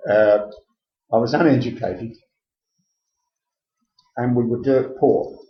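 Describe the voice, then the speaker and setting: An elderly man from England, in his eighties, telling his life story in a plainspoken, halting way with many "uh" restarts. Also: mid-range voice, big pitch swings. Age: 80s. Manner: plainspoken, hesitant with frequent "uh" restarts. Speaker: interviewee. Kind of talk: recorded oral history interview. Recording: echoey room, dull lo-fi tape.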